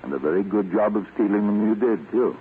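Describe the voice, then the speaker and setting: A man acts out a suave counterspy in a 1940s radio play. He is polite and dryly wry, in a fairly low voice. The recording is narrow and tinny with faint noise. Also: expressive, fairly quick, natural voice. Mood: smooth, wry. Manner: courteous, wry. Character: suave, polite male counterspy posing as titled gentleman. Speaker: radio drama actor. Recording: muffled, tinny, noisy old radio recording.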